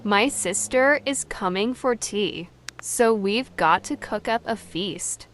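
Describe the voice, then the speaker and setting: A woman voicing an excitable, scatty but kindly witch with emphatic, exclamatory delivery in a storybook reading. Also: medium pitch, slow, voice pushed up. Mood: eager. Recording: clear background noise.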